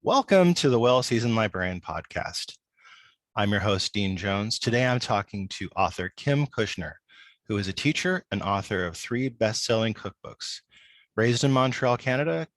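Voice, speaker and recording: A man with a low voice works as a podcast host, moving between casual introductions and interview questions. The sound is dry and close, with little room on it. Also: fairly bright tone, no room sound.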